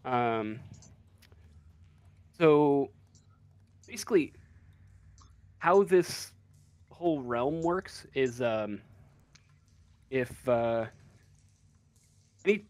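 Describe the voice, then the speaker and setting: A man's voice: medium pitch, animated, extremely slow, long pauses, dry recording. A man improvising tabletop game narration as game master, hesitant and often searching for words.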